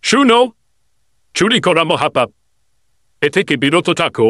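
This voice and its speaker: Guy doing a preachy cartoon villager, highish sharp voice, very swoopy. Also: big pitch swings, unhurried, no echo, clean studio sound, exaggerated voice. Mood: admonishing. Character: indignant, moralizing male villager. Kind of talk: cartoon voice acting.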